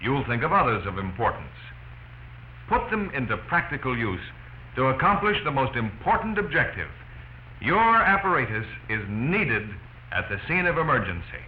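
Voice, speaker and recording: A man's voice: mid-range voice, very animated, moderate pace, natural voice. An American man in his thirties playing an earnest fire officer, lecturing in a scripted 1940s training film. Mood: earnest, instructive. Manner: earnest, instructional. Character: earnest uniformed male fire officer instructing crews. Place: small indoor office set. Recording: dull noisy 1940s film soundtrack.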